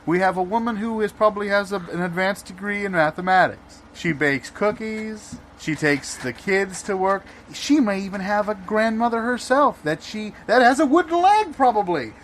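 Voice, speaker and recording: A man's voice: sits high, animated, normal speed, few pauses, a bit bright, slight background noise. A man playing a blustering, exasperated debater in an improvised audio comedy, insistently repeating himself and cutting in. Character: blustering, overwrought male debater making absurd arguments.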